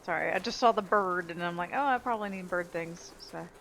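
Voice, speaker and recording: A woman's voice: lowish voice, brisk, vocal fry, a bit bright, slight background noise. A woman giving casual gameplay commentary, informal and chatty, thinking aloud as she plays. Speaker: game streamer.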